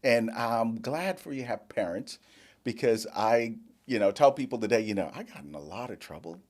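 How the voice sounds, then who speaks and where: An older man who grew up in the Bahamas, a guest in a casual interview, telling his life story with lively quoted dialogue. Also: mid-range voice, normal speed. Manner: storytelling, animated quoting of others.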